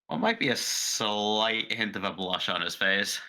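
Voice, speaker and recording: A man playing a tabletop roleplaying game, speaking in character with hesitant pauses and many fillers. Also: fairly low pitch, pushed and bright. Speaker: player.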